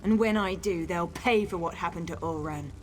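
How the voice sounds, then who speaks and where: An actress playing a grieving, furious young dark elf woman in a fantasy video game, intense and bitter. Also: fairly low pitch, normal speed, slight background noise, natural voice. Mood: vengeful.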